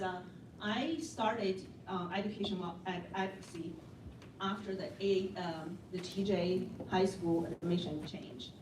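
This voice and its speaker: A woman on an advisory board addressing a community meeting in an earnest, rallying way. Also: medium pitch. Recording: echoey room, distant mic, background noise.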